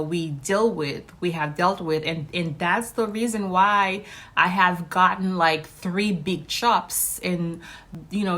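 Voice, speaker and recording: A woman's voice: on the low side, fairly bright tone. Upbeat woman vlogger, native Haitian Creole speaker. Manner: upbeat, chatty, addresses viewers directly. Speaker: vlog host. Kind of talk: casual chat to viewers.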